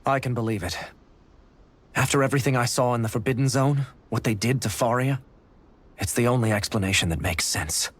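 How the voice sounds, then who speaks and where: An actor playing a blunt, loyal, dependable fighter in a game, speaking casually and directly. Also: male voice, medium pitch, a little fast, close to an everyday voice. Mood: grim.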